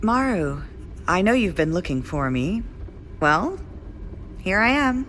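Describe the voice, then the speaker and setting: An actress playing a cool, composed resistance leader with a measured, formal, superior manner. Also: female voice, mid-range voice, very animated, a bit bright, a little hiss or hum.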